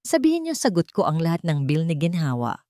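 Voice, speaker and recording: A woman's voice: deep voice, very animated, fairly quick, warm tone, no room sound, clean studio sound. A woman voicing a wealthy, devoted old man with an authoritative, earnest manner. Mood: urgent, commanding.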